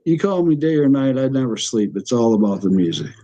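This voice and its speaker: Folksy, mellow-voiced podcast host. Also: male voice, mid-range voice, big pitch swings, brisk, muffled recording. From United States. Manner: enthusiastic, folksy, laughs often. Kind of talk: casual interview chat.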